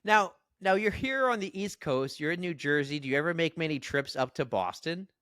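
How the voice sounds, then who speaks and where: A man's voice: on the high side, lively intonation, moderate pace, fairly bright tone, clean tone, dry recording, studio quality. A man hosting a podcast interview, chatty as he asks his guest questions. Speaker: podcast host.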